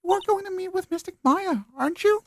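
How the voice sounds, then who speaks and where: A woman's voice: very high-pitched, fairly quick, faint music behind. A woman voicing a shy, sweet little girl in a fan voice-over of a visual novel game. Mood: shy, polite.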